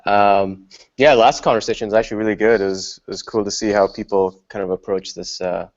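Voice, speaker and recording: A man speaking as a guest on an online panel, measured and hesitant with many fillers. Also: on the low side, steady tone. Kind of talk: casual panel discussion. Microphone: video call. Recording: slightly muffled video-call audio.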